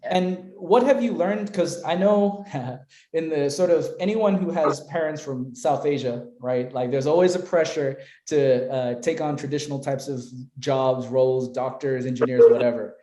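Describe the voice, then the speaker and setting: A man's voice: on the high side, measured pace, mellow, roomy sound. A man hosting an interview podcast, upbeat and affirming toward his guest. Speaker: podcast host.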